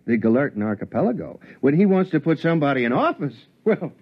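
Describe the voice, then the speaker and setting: An actor playing a harried, placating spaceship captain in a 1950s radio drama, hesitant and coaxing in a formal way. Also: male voice, on the high side, sing-song, quick pace, husky. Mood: persuasive. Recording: muffled 1950s radio recording.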